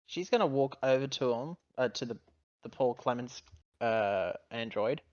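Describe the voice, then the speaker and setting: A woman's voice: extremely low pitch, animated, normal speed, darkish tone, no room sound, dull audio. A woman playing a tabletop game, casually narrating what her character does and chatting with the table.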